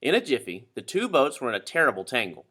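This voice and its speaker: A man reading a children's story aloud with expressive, dramatic narration. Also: mid-range voice, quick pace, slightly rough. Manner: dramatic, animated. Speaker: storybook reader.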